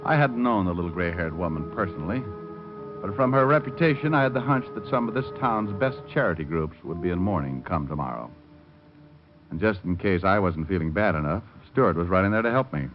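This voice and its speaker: Wry gumshoe, low and clipped, tough wisecracks, dull vintage radio recording. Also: male voice, animated, fairly quick. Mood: grim, wry. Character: wry, hard-boiled male private detective narrating his case. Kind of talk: 1940s-50s radio detective drama acting. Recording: muffled old radio recording, music behind.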